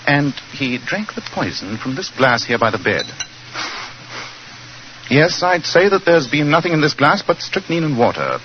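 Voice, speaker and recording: An actor playing an incisive, self-assured British gentleman detective in a 1940s radio drama, speaking precisely and insistently. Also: fairly high pitch, sing-song, normal speed. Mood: investigative. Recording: muffled, noisy 1940s radio recording.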